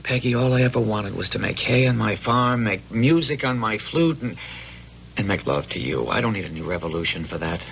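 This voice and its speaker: An actor playing an easygoing, evasive young farmer turned soldier in a radio drama, hesitant when pressed. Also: male voice, fairly low pitch, animated, a little fast, vocal fry, a bit bright, lo-fi sound, a little hiss or hum. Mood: tender, reluctant. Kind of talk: old-time radio drama acting.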